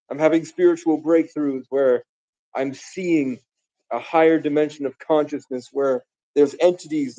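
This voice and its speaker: A man's voice: a little high, warm tone, no room sound, dull audio. A man from the Bronx, raised in Vermont, rambling grandly and casually to his viewers on a live stream. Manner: grandiose, meandering, casual slang. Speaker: livestreamer.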